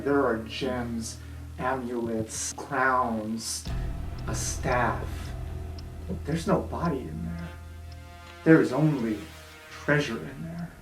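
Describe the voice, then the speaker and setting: A man's voice: medium pitch, recorded from a distance. A man running a tabletop game as game master, improvising narration and giving rulings. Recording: echoey room, off mic, music underneath.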